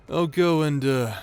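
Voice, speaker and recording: An actor playing a crude, irreverent rogue warrior in a fantasy audio roleplay, talking in a casual, sarcastic, teasing way. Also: male voice, fairly high pitch, a little mellow, slight background noise. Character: cheeky, crude, irreverent bastard warrior man, sardonic rogue.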